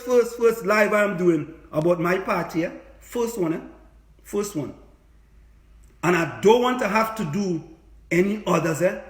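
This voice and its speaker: A man's voice: high voice, a bit bright. A man with a Trinidadian accent delivering an impassioned, informal livestream monologue, emphatic and repetitive, full of rhetorical questions. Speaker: livestream host, political activist; business lecturer. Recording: echoey room, livestream.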